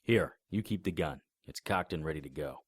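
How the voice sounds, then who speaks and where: A man's voice: a bass voice, moderate pace, heavy background noise. A man narrating a first-person noir horror audio drama in a grim, matter-of-fact manner and voicing its lead character.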